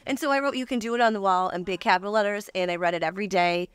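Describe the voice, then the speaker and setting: A woman's voice: mid-range voice, very fast, clear, resonant tone, no echo. A woman speaking as an interview guest, giving a casual, conversational personal testimony.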